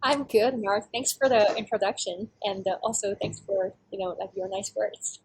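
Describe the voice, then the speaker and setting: A woman's voice: mid-range voice, normal speed, husky, poor audio. Young woman from China, casual guest. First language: Chinese. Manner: casual, many fillers like "like" and "uh". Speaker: startup founder, guest. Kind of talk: interview.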